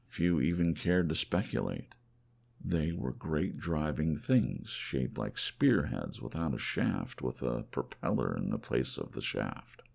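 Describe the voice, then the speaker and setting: A man as a deep, gravelly, reflective storyteller. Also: a little mellow, full character voice. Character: weary, reflective man recounting a lost war dream. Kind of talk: audiobook character voice.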